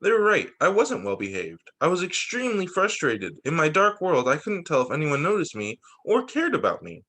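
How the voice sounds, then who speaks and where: A man's voice: on the high side, normal speed. A man reading a picture book aloud to young students as their teacher, expressively and with small asides. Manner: animated, explains and checks in with young listeners.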